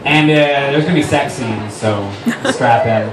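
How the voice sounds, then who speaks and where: A man's voice: mid-range voice, a little slow, music in the background. A man talking informally on stage in a loose, sweary way, presenting a dramatic reading of fan fiction. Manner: informal, filler-heavy, swearing. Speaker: writer-director reading on stage. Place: live stage before an audience at a fundraiser event. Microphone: stage microphone. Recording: noisy, echoey live room recorded from far off.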